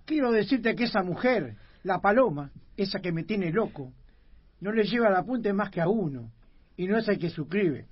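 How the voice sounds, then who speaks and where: A man's voice: sits high, expressive, moderate pace, slightly rough, dull audio. A man with a Rioplatense accent playing a jealous, hot-headed husband, slangy and agitated, in radio comedy. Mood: nervous. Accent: Rioplatense Argentine. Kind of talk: comedic radio theatre acting.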